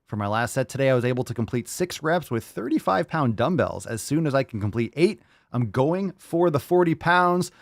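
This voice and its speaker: A man's voice: mid-range voice, lively intonation, a little fast, vocal fry, hardly any pauses, no echo, studio quality. Hyped, foul-mouthed podcast host man. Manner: hyped, profane, encouraging. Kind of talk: casual podcast chat reading listener posts.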